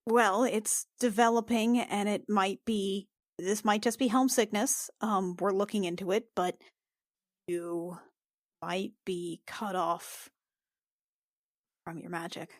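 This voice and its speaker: A woman playing her character in a tabletop game, talking in a hesitant, halting way. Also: mid-range voice, fairly even intonation, no echo, studio quality. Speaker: player.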